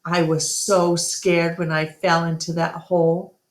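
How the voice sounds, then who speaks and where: A woman's voice: on the low side, flat delivery, unhurried, close to an everyday voice. Woman voicing an eager little girl elephant, echoey. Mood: earnest, excited. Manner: earnest, childlike storytelling. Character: eager, talkative young female baby elephant. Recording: roomy, echoing room sound.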